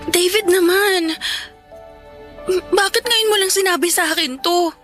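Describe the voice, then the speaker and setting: High-pitched pleading young Filipina. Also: female voice, unhurried, fairly bright tone, soft background music. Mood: gentle. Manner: pleading, coaxing. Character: torn, pleading young woman, best friend in love elsewhere. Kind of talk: Filipino radio drama acting.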